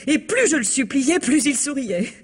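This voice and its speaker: A woman's voice: high voice, sing-song, normal speed. A woman playing a flustered married society woman in a radio mystery, stammering and evasive under questioning. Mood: indignant, desperate. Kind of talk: vintage radio mystery acting.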